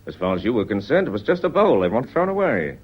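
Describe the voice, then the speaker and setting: A wily police inspector in a radio mystery. His deep voice swings widely in pitch as he chats politely and disarmingly. The recording is dull and muffled. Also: huge pitch swings, husky, exaggerated voice. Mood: probing, firm. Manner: chatty, polite, deliberately disarming. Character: genial, wily male police inspector, quietly probing. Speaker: actor in a radio play. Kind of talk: radio mystery drama acting.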